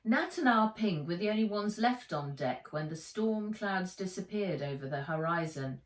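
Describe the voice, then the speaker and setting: A woman reading a children's adventure story aloud in an expressive, deliberate storytelling manner. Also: on the low side. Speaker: author reading own book aloud. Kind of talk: dramatized children's audiobook narration. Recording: roomy echo, distant microphone.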